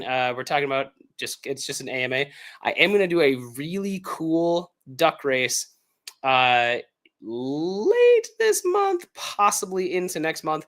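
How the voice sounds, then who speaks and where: A man with an American accent, hoarse from illness, chatting casually and upbeat as the host of a livestream Q&A, with lots of fillers. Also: a little high, fairly bright tone. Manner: rambling, filler-heavy, upbeat. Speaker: author hosting a livestream Q&A.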